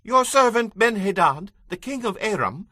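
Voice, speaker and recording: A man playing an excitable royal servant in a dramatized audio Bible, exclaiming theatrically. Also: high-pitched, moderate pace, no room sound, clean studio sound, exaggerated voice. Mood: deferential. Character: excitable male royal servants and officers, deferential.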